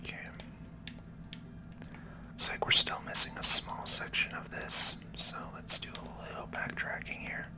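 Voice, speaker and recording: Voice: unhurried, long pauses. Casting: ASMR game host, fully whispered delivery, casual explanatory commentary on gameplay. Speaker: host, gaming video creator.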